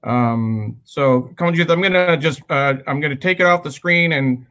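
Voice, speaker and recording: Bright-voiced man hosting a livestream. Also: a little high, moderate pace. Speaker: livestream co-host. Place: video call livestream.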